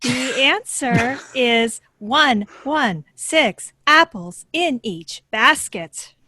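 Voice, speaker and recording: A woman playing a literal-minded android girl of ten in an amateur sitcom table read, with measured delivery. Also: a little high, animated, bright, forceful tone, slight background noise, heavily acted. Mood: flat, literal.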